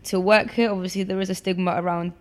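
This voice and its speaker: A woman's voice: mid-range voice, fairly even intonation, quick pace. A woman, chatting casually as an interview guest with many fillers and restarts. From born in Poland, raised in London. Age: 20s. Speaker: guest, photographer.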